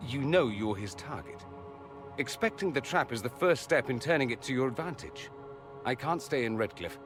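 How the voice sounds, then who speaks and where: An actor playing a witty, self-assured foreign mage in a video game, with an arch, flamboyant manner. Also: male voice, medium pitch, animated, moderate pace, music in the background, close to an everyday voice. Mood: wry. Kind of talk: video game dialogue acting.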